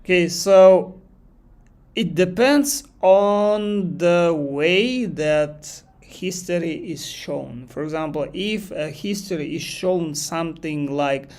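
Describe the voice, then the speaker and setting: Kazakh-accented male tutor, a touch high-pitched, unscripted live class, loose and repetitive phrasing. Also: slow, darkish tone. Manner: thinks aloud, repeats phrases, reads chat questions aloud. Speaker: English exam teacher. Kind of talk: live online lesson.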